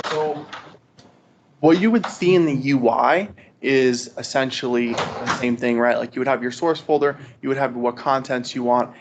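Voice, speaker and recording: Guy doing a casual tech walkthrough for a study group, says right a lot, muffled lo-fi sound, bit of noise. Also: mid-range voice. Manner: conversational, often tags sentences with "right?". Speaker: presenter of a study group session. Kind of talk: informal technical walkthrough with live demo. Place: group meetup with video conference call. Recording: dull, lo-fi audio with background noise.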